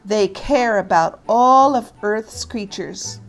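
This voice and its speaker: A woman's voice: mid-range voice, slow, faint music behind, rough recording. A woman voicing a caring, protective mother alligator in a children's storybook, tender and earnest. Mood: reassuring.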